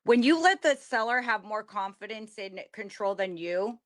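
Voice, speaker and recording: A woman with a medium-pitched voice talks in a casual panel setting. She is plain-spoken and sounds sure of herself, offering sales advice conversationally. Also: expressive, normal speed.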